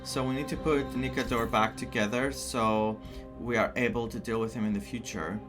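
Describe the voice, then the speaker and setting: A man's voice: mid-range voice, fairly even intonation, normal speed. A man from Greece with a Greek accent, giving casual, enthusiastic let's play commentary with reactive asides. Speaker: gaming content creator. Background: game music.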